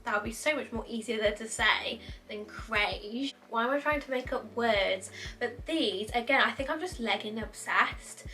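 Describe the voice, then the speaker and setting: A young woman with a bright, slightly high voice, gushing about her finds in a chatty, self-mocking way. She sounds a little nasal and bunged up. Faint music plays under her, recorded at a small indoor desk setup. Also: moderate pace, few pauses, low-quality recording. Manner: gushing, chatty, laughs at herself. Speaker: fashion vlogger. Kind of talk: clothing haul chat to camera.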